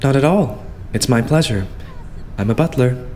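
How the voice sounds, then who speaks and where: A man playing a young idol butler in an anime-style fan dub, formal and courteous with a quietly condescending edge. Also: medium pitch, lively intonation, a little hiss or hum, close to an everyday voice. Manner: formal, courteous, measured, servile phrasing.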